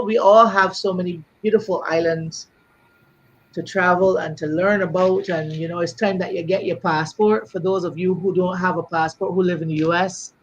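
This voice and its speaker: A woman's voice: fairly low pitch, dull audio, a little hiss or hum. A woman from Barbados, hosting a live podcast stream in an easygoing, rambling chat full of fillers. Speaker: podcast host.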